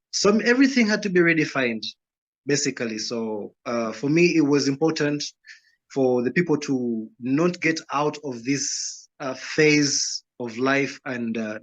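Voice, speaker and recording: A man, a guest musician answering interview questions in a calm, measured, unhurried way. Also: medium pitch. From Uganda, Rwandan roots. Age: 30s. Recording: dull lo-fi sound.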